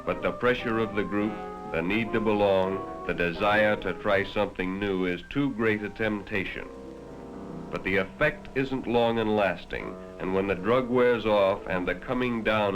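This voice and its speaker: A man's voice: lowish voice, unhurried, muffled recording. An American man reading scripted narration in the grave, dramatic, moralizing manner of a 1960s educational film, addressing the listener directly. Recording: vintage 1960s film soundtrack. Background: prominent music.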